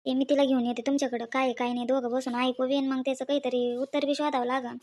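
A woman's voice: high voice, flat delivery, quick pace, darkish tone, close to an everyday voice. A woman with a rural Maharashtrian accent playing a worried, scolding village mother in a family story cartoon. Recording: faint background noise.

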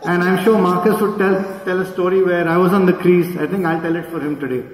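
A man's voice: high-pitched, steady tone, a little fast. A middle-aged man with an Indian accent gives an informal, rambling, anecdotal speech from a podium, recorded from across the room. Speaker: guest speaker, neurosurgeon. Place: indoor event hall at a podium. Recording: echoey hall, distant camera microphone.

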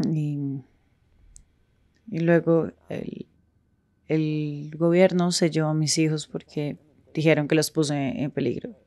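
A woman speaking frankly in a street interview, with halting pauses and restarts. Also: deep voice, level tone, dark tone, no room sound.

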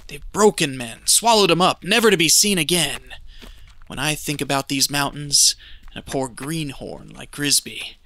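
A man's voice: on the high side, moderate pace, sharp tone. An actor playing a cold, scheming male gold prospector in an audio drama, talking in a folksy, ominous drawl. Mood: menacing.

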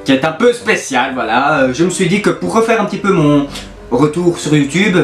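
A man's voice: on the high side, lively intonation, fairly quick. A man, chatting casually to camera in a playfully exasperated, teasing manner. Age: early twenties. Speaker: YouTuber hosting a prank video. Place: small bedroom, indoors. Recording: roomy echo, music behind.

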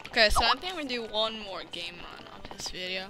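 A man's voice: high voice, lively intonation, quick pace, a little hiss or hum. A thirteen-year-old boy hosting a gaming video with casual, youthful, informal commentary. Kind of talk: casual gameplay commentary and chat. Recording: music playing behind the voice. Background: loud music.

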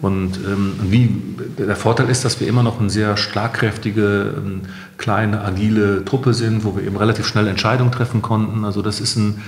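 A man's voice: fairly low pitch, level tone, normal speed. A middle-aged man answering interview questions conversationally, as a company co-founder and guest. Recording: roomy echo.

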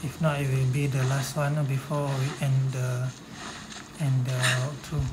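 A gamer giving casual, halting walkthrough commentary, often repeating words. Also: medium pitch, flat delivery, very noisy. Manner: halting, repetitive phrasing. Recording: voice under loud game music.

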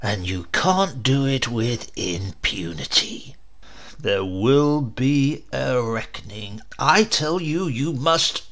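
A man voicing a scorned, bitterly wounded gentleman in a horror storybook, impassioned and melodramatic, swinging between hushed and loud. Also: medium pitch, expressive, takes it slowly. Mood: anguished rage. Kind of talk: dramatic storybook reading.

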